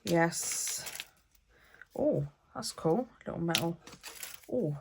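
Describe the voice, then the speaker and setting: A woman's voice: fairly low pitch, animated, extremely slow, creaky, background noise. A woman hosting a craft vlog, chatting and thinking aloud as she works, a bit stuffy with a cold. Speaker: craft channel host. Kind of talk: casual crafting vlog talk.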